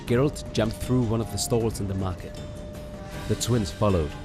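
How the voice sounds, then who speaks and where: Low-pitched male story narrator. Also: normal speed. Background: background music.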